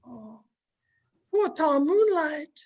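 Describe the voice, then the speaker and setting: A woman's voice: high voice, very animated, very slow, a little mellow, heavily acted. A woman playing a blunt, devoted household servant who scolds, in old-time radio drama style. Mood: resigned, sorrowful. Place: at home, recorded over a video call.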